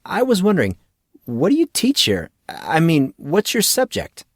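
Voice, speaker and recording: Man as easygoing teen boy hero for an audiobook, casual and inquisitive, higher register, dry sound. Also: huge pitch swings, normal speed, dry recording. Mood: friendly, curious. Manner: casual, questioning.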